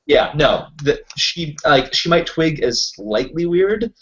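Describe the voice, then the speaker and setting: A man's voice: fairly high pitch, very animated, slow pace, fairly bright tone. A man playing a tabletop roleplaying game, explaining things in casual, rambling chat. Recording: dull lo-fi online voice call.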